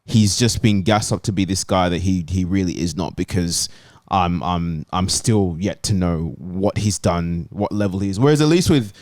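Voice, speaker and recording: A man's voice: low-pitched, normal speed, few pauses, a little mellow, dry recording, studio quality. A man talking casually as a football panellist, giving his opinion in a debate.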